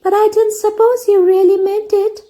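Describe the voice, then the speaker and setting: A woman's voice: very high voice, level tone, moderate pace, warm tone, pitched above the performer's own voice. A woman voicing a polite, hesitant young schoolgirl in a storybook reading. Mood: shocked, hesitant. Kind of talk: storybook character voice in read-aloud.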